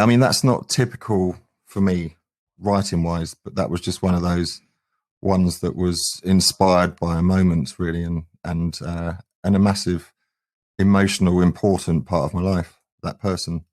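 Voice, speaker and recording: A man's voice: low voice, steady tone, unhurried, a little mellow, no echo. A middle-aged man, talking earnestly and thoughtfully as a musician being interviewed. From England. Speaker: band singer and guitarist, interview guest. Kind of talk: reflective interview talk.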